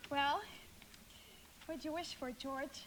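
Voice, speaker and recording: Very high-voiced, sweet teenage girl. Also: steady tone, slow pace, a bit bright. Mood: playful. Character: sweet, wistful eighteen-year-old small-town girl. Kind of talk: live radio play acting. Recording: live stage recording with hiss.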